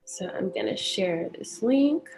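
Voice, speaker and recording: A woman from California with an American accent, talking gently and unhurriedly as she hosts a guided meditation session and speaks in spiritual phrases. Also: medium pitch, expressive, a little slow, noisy background. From California, United States. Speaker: meditation teacher and session host. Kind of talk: welcoming introduction to a guided meditation, personal talk.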